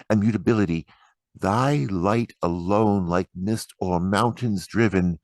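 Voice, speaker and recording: Deep voiced guy doing a solemn poetry reading, really slow w pauses, super dry close sound. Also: a little mellow, no room sound. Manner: solemn, measured phrasing with deliberate pauses. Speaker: poetry reader. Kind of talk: dramatic poetry recitation.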